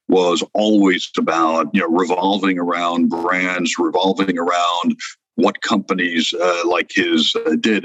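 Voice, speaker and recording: Low-voiced older French-born man. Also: fairly bright tone, no room sound, low-quality recording. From France. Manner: reflective, many hesitations and restarts. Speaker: guest. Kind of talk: conversational interview.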